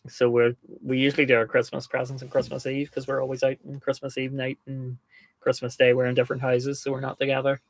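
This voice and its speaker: A man's voice: medium pitch, completely flat delivery, fast, gravelly, no echo, poor audio. A man with a slight lisp, speaking through his teeth in easy livestream chat. His voice sits in a middle range and is recorded close and dry, with no room around it. He laughs readily as he chats. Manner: chatty, laughs often. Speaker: co-host.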